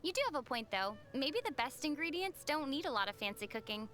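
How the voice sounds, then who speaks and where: A woman playing a young, easygoing, gently teasing traveler in a video game. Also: high-pitched, expressive, brisk, soft background music.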